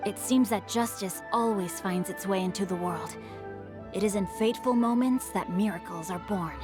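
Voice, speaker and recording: An actress playing a gracious, high-minded guard in a video game, with lofty, formal phrasing. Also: female voice, moderate pace, music in the background, lightly acted. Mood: lofty, sincere. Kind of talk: video game story dialogue.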